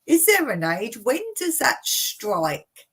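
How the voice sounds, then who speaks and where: A woman's voice: a little high, sing-song, slow pace, fairly bright tone, breathy edge. A woman in her late fifties hosting a casual live Q&A, reading viewer comments aloud and chatting about them with frequent laughs. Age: 50s. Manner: chatty, laughs often between remarks. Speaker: channel host. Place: home in rural Cyprus.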